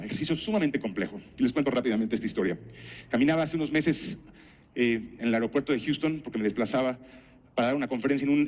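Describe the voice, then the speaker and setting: Mexican guy, middle-aged, speaking at a conference, teacherly, deliberate phrasing, stories and questions. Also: medium pitch, darkish tone. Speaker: conference speaker and health professional. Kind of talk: conference talk with anecdotes and rhetorical questions.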